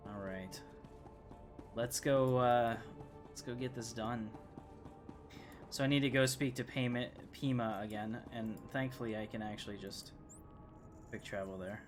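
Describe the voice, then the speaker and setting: Male streamer, average pitch, informal play-by-play of a game with music behind. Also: unhurried, pauses often. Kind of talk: casual gameplay commentary.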